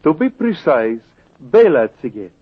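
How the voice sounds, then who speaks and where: An actor playing a fussy, precise man who makes artificial gems, with a husky, pedantic way of talking, in an old radio drama. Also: on the high side, very animated, moderate pace. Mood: prim. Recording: muffled old radio broadcast.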